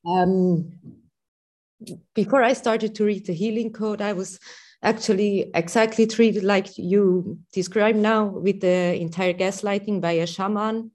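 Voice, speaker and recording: A woman with a Swiss accent calling in to share a personal story, hesitant and full of pauses and fillers. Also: medium pitch. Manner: hesitant, many pauses and fillers, soft laughs. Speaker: caller. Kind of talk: personal testimony on a call-in.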